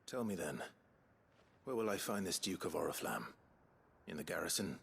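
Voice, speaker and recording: An actor playing a brooding but courteous mercenary hero in a video game, earnest, restrained and terse. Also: male voice, sits low, very animated, moderate pace, creaky, husky, full character voice. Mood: resolute, polite. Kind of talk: scripted video game drama.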